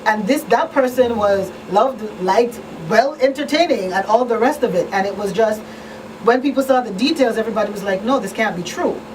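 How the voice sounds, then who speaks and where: A woman's voice: fairly high pitch, fairly quick. A woman hosting a talk show in a conversational style. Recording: distant mic, clearly noisy room.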